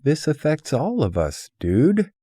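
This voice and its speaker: A loud, bossy hothead as a male narrator plays him, hollering with emphasis in an audiobook. The voice jumps up from a low register and pushes hard, with a rough edge. The recording is dry. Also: wildly swooping pitch, slow pace, no echo, heavily acted. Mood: indignant, hollering. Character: loud, overbearing, hot-headed man shouting at his friend.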